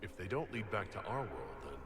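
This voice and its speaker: An actor playing a composed, courteous warrior leader in a video game, speaking in restrained, formal questions. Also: male voice, deep voice, sing-song, a little fast, fairly bright tone, a little hiss or hum. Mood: guarded, curious. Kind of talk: video game dramatic acting.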